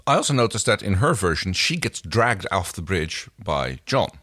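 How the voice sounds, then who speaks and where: A man's voice: sits low, very animated, normal speed, fairly bright tone, no echo, clean studio sound. A man co-hosting a casual, analytical recap conversation. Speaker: podcast co-host.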